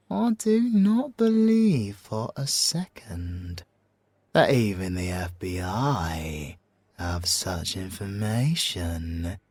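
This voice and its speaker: A man's voice: fairly low pitch, dry recording, heavily acted. A man with a British accent playing a suave, flirtatious former special forces soldier in an ASMR audio roleplay, teasing and theatrical, drawing out his words and slipping into whispers. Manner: teasing, drawn-out, theatrical delivery with whispered asides. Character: suave, flirtatious, possessive male ex-special-forces bomb expert.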